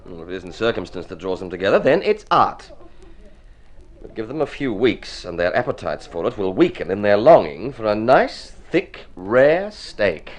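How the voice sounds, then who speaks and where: An actor in golden age radio drama playing a genial, wry bohemian painter with a jocular, avuncular manner. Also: male voice, fairly low pitch, big pitch swings, normal speed. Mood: wry, musing.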